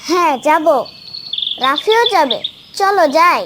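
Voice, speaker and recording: A woman's voice: extremely high pitch, animated, slow, background noise, full character voice. A woman voicing a proud, eager little boy in a cartoon. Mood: excited, proud. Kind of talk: cartoon voice acting.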